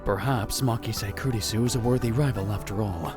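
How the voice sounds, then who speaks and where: A man's voice: on the low side, fairly quick, over music, lightly acted. A man playing an arrogant, theatrical male college student who narrates a visual novel fan dub in a pompous way. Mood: scornful, suspicious. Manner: pompous, dramatic delivery with exclamations.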